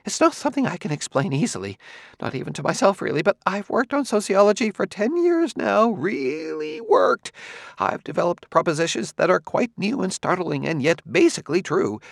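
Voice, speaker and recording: Male narrator putting on a fussy, squeamish older scholar; high, wavering, gravelly edges, dry close sound. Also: no echo, full character voice. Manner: high, shrinking, hesitant delivery. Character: nervous aging male sociologist, fussy and squeamish.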